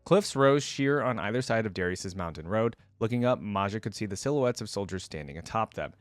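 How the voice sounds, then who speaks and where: A man's voice: on the low side, animated, a little fast, dry recording, soft background music. A man talking casually as a video creator, moving between chatty reaction commentary and reading a story aloud.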